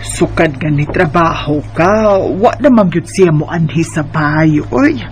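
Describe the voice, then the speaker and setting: A man voicing a gentle, caring elderly grandmother with a Bisaya Filipino accent in an amateur radio drama. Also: on the high side, expressive, a little slow, a little hiss or hum. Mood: caring, wistful.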